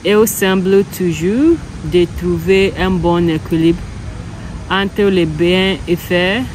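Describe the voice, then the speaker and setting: A woman's voice: lowish voice, poor audio. A young woman from Ghana, an English speaker practicing French and speaking hesitantly, often correcting herself. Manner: hesitant, halting, self-correcting. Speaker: French learner. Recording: steady background hum.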